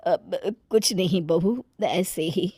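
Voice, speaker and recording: A woman voicing a middle-aged mother-in-law in an animated moral story, expressive and theatrical. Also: lowish voice, takes it slowly, husky, dry recording, clean studio sound. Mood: worried. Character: middle-aged city mother-in-law, affectionate but easily exasperated.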